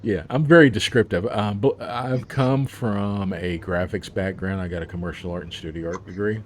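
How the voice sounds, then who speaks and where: A man's voice: low voice, normal speed, slight background noise. A man, an author, talking about his book in a casual interview, rambling with plenty of fillers and laughs.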